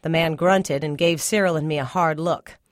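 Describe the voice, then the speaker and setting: A woman's voice: low voice, steady tone, normal speed, no room sound, clean studio sound. A woman reading a first-person literary short story aloud as scripted narration. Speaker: story reader.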